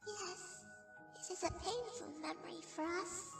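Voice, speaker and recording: A woman voicing an excitable shadow creature mother in an animated fan dub, sweet but wary, effusive and exclaiming. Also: extremely high pitch, unhurried, gravelly, distant mic, over music, heavily acted.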